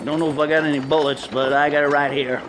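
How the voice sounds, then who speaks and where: A man voicing a grizzled old stagecoach driver who jokes his way through every line, drawling in a fairly high voice. A steady hiss runs under this radio drama recording. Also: fast, close to an everyday voice. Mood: joshing. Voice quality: folksy drawl.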